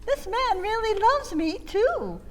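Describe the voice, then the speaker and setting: Lovestruck young daughter voiced by a woman, gushing, swooning, slow. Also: very high-pitched, very animated, pitched above the performer's own voice, exaggerated voice.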